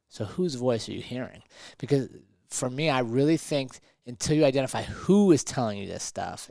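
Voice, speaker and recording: A man's voice: medium pitch, creaky, darkish tone, no room sound, clean studio sound. A man talking conversationally as a motivational podcast host and coach, emphatic and fond of rhetorical questions.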